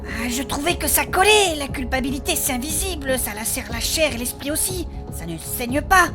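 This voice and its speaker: A woman playing a gentle, apologetic, hesitant young adventurer in a comic fantasy audio drama. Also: high-pitched, expressive, normal speed, over music. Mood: defensive, musing.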